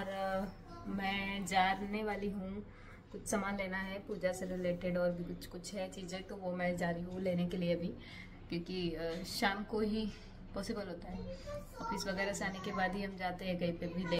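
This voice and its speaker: A woman in her thirties vlogging to her phone at home, hoarse from a cold and talking in a tired, chatty way with many fillers. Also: mid-range voice, noisy background. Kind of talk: casual vlog talk to camera. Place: home bedroom, indoors. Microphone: phone held at arm's length.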